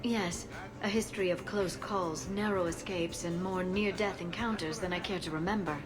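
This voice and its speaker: Lady playing composed alien woman, lowish voice, dry wit, music behind, video game. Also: steady tone, lightly acted. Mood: wry. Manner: measured, wry. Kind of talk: video game character acting.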